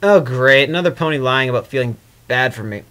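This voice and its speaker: A man's voice: medium pitch, brisk, fairly bright tone, natural voice. A man voicing a sardonic chaos spirit trapped in stone, in a dry, skeptical inner monologue for a story reading. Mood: sarcastic.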